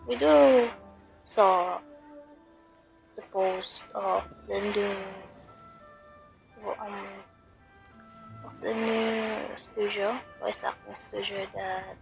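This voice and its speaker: A woman talks quietly over her gameplay, keeping her voice low so as not to wake anyone at home. She speaks very slowly with a heavily gravelly, creaky tone and many hesitant fillers. Faint game music runs underneath. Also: fairly even intonation, low-quality recording. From Philippines. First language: Tagalog. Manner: soft, lowered voice, slow, many fillers. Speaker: game streamer. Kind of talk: casual commentary over gameplay. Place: home room late at night. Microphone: headphone mic.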